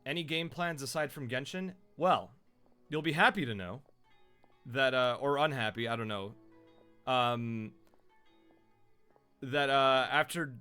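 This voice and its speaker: A man who is a voice actor and streamer, chatting casually and loudly on his live stream with lots of fillers. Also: medium pitch, clean tone. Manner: loud, chatty, rambling with fillers.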